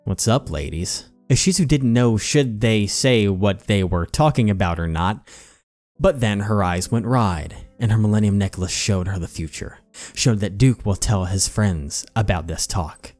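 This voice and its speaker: A man's voice: lowish voice, normal speed, a little mellow, dry recording. A man reading a story aloud in a theatrical narrating style with music behind him. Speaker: channel host reading fan fiction aloud. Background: background music.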